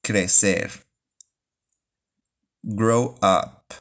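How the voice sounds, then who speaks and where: Slow, deliberate male teacher. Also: lowish voice, a bit bright. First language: Spanish. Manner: reads phrases slowly, then word by word. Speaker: English teacher. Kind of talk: bilingual language lesson, slow drilled repetition.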